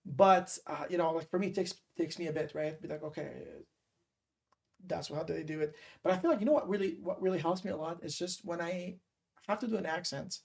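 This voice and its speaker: A woman from Montreal hosts a casual podcast chat. Her voice sits low with a gravelly fry running through it, and she talks in an animated way, scattering fillers as she goes. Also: a little fast. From Montreal, Canada. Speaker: podcast host. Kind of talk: casual interview.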